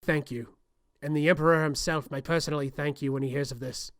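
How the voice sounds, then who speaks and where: Man acting grave Antipodean imperial guard, higher-pitched. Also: fairly even intonation, brisk, dry recording, clean studio sound, natural voice. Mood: earnest, grave. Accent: Australian or New Zealand. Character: grave, loyal male guard of a fantasy empire. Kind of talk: amateur video game character audition. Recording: home audition with background bumps and noise.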